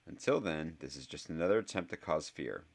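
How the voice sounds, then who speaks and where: A man voicing a large, cold schoolboy bully who hisses his threats in a low whisper. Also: lively intonation, fairly quick, gravelly, full character voice. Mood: menacing.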